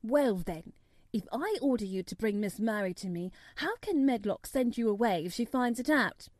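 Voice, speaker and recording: A woman's voice: a little high, expressive, normal speed, a little mellow, no echo, lightly acted. A woman voicing a spoiled, sickly young boy of wealth who talks in a grand, commanding way in a storybook reading. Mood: imperious.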